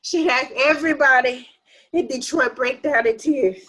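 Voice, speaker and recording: An actress playing a sarcastic, irritable daughter in a family dramedy, with a theatrical, exasperated manner. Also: female voice, sits high, moderate pace, fairly bright tone, poor audio. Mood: mocking, exasperated. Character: sarcastic, irritable adult daughter mocking mournful hymns. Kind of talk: dramatic play reading.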